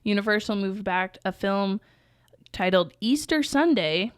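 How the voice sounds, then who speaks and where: A woman in her thirties with a nasal voice and a cold, chatting as co-host of a podcast. She talks quickly and breaks into laughter often. The sound is dry and close, with no room around it. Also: medium pitch, expressive, clean tone, dry recording. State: has a cold. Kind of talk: casual chat.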